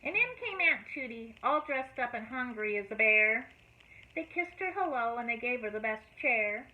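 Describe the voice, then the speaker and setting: A teacher reading a picture book aloud to children, expressive and asking them questions along the way. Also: female voice, on the high side, normal speed. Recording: tinny phone-like audio, faint noise.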